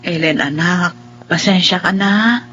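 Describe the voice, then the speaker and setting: A woman's voice: on the low side, unhurried, fairly bright tone, slightly rough. An actress playing an ailing, apologetic middle-aged mother with a Filipino accent in a radio drama. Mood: tearful, apologetic. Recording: dull lo-fi audio under music.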